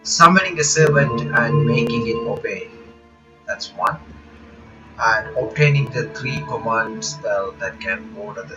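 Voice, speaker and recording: A man reads a visual novel's text aloud at a middle pitch, stumbling over lines and breaking off for relaxed comments of his own. The recording is dull and lo-fi, with clear music running behind him. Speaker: reaction channel host.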